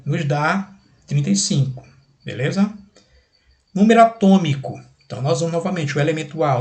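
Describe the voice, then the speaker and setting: A man with a Brazilian accent teaching a recorded lesson, explaining exercises step by step with repeated steps and frequent check-in questions. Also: on the high side. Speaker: chemistry teacher.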